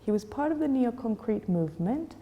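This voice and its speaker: A woman's voice: a little high, lively intonation, a little fast, big-room sound, highs cut off. A woman in her forties from Chile, answering interview questions thoughtfully, with many fillers and restarts. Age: 40s. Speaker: gallery owner.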